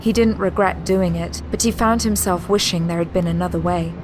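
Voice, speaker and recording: Give a woman narrator for a sci-fi audio drama. Her voice is on the low side, reading scripted dramatic prose. Music plays behind her. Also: fairly even intonation, brisk, low-quality recording.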